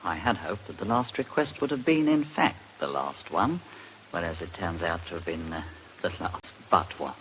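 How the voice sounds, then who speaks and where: An actor playing a smug, jaunty English blackmailer in a radio drama, reading out a letter in a breezy, insinuating way. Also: male voice, sits low, lively intonation, normal speed, a bit bright, a little hiss or hum, poor audio. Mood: mock regretful. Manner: breezy, insinuating, drawling hesitations.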